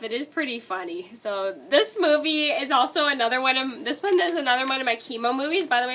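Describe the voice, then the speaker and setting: A woman's voice: on the high side, a bit bright. A woman vlogger with a cold, stuffy nose and scratchy throat, chatting casually and rambling through a movie list. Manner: rambling, many likes and restarts.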